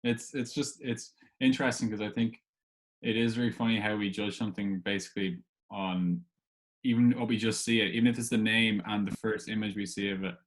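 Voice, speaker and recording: A man's voice: fairly low pitch, fairly even intonation, brisk. A man hosting a casual interview chat, easygoing and a little tentative. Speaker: podcast host.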